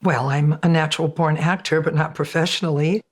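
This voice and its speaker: A woman's voice: low-pitched, steady tone, fairly quick. A woman in her eighties, an amateur actor, talking about herself in a confident, chatty way. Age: 80s. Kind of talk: documentary interview.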